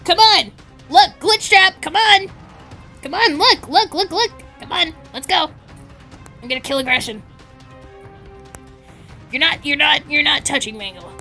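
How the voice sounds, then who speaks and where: A woman improvising a game roleplay in an excitable, bossy way, often shouting. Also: sits high, unhurried, gravelly, pauses often. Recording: background music.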